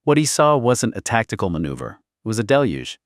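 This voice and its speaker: Deep-voiced man narrating a dramatic historical audiobook. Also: very animated, brisk, no echo, studio quality. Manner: theatrical, intense, suspenseful.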